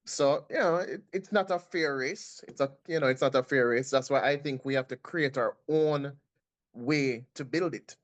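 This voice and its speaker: A man's voice: medium pitch, moderate pace, muffled recording. A man with a Jamaican accent on a discussion panel, making his points in a reasoned, step-by-step way. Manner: reasoned, calls himself a numbers guy.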